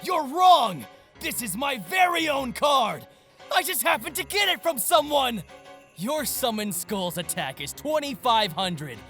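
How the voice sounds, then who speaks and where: High, wildly animated arrogant young villain. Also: male voice, measured pace, soft background music, heavily acted. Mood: smug, taunting. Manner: theatrical, taunting, swinging from sly murmur to shouts. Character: arrogant gloating young male card-game villain. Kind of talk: anime-style audio drama acting.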